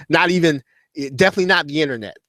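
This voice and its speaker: A man in his forties chats loosely to his live audience, restarting sentences and piling up fillers as he goes. His voice sits a little high for a man. The recording is dry and close, with almost no room sound. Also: fast talker, fairly bright tone, no room sound. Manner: rambling, many restarts and fillers. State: losing his voice. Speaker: host of an online marketing show. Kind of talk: casual live-stream talk to viewers.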